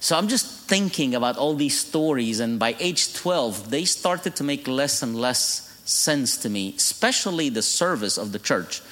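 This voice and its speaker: Egyptian-accented preacher in a reverberant hall. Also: male voice, mid-range voice, animated, measured pace, few pauses, a bit bright. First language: Arabic. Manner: humorous storytelling, laughing at his own jokes. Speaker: guest preacher. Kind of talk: sermon testimony. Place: church.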